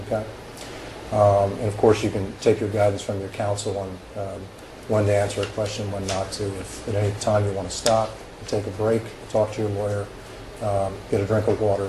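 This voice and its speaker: A man questioning a witness in a formal deposition, halting and full of hesitations and restarts. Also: lowish voice. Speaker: examining attorney. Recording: echoey room with background noise.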